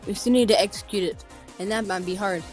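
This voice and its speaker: A woman's voice: medium pitch, quick pace, darkish tone, soft background music, natural voice. A woman voicing a doubtful animal team member in an amateur comic dub.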